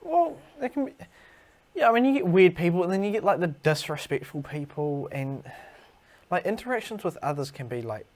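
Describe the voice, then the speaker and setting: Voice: medium pitch, sing-song, fairly quick, darkish tone. A podcast co-host in casual chat, agreeing often with short replies. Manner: agreeable, many short "yeah"s.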